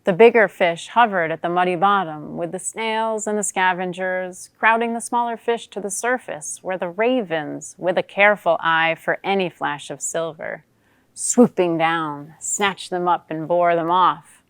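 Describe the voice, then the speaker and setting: A woman performing a dramatic monologue as a wry, watchful female newt, in measured theatrical storytelling. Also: fairly low pitch, fairly even intonation, smooth, no echo. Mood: somber, wry.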